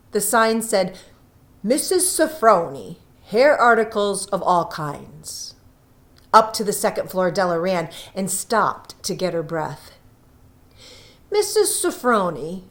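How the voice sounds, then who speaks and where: A woman reading a story aloud as scripted narration, with dramatic emphasis and drawn-out phrases. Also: lowish voice. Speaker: audiobook reader.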